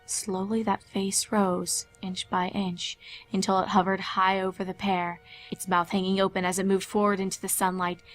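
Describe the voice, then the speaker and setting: A woman's voice: medium pitch, little pitch movement, normal speed, rough recording. Woman narrating a horror story over faint music.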